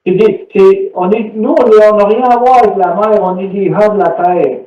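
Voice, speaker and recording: A man's voice: sits high, steady tone, moderate pace, warm tone. A man from Quebec in a casual video-call chat, searching for words and repeating himself. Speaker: participant. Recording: distant, roomy, dull video-call audio.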